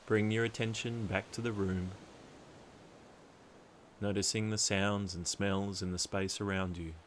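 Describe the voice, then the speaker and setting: A man narrating a guided meditation in a calm, soothing, deliberate way, leaving long pauses. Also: fairly low pitch, a little hiss or hum.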